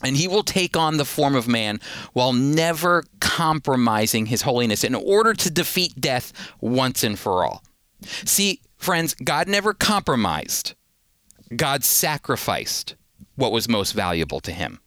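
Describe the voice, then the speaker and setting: A man's voice: medium pitch, big pitch swings, measured pace, a bit bright, no echo, clean studio sound. A man teaching the Bible on a radio program, earnest and emphatic, often repeating a word as he starts a thought.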